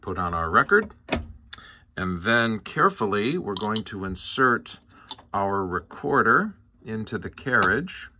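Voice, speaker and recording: A man with a bright, forward voice talks through a practical tutorial. His delivery is casual and methodical, going one step at a time, recorded indoors at a workbench. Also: on the low side, big pitch swings, unhurried, a little hiss or hum. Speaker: presenter of a hands-on tutorial. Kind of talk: casual instructional demonstration. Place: indoor workshop bench.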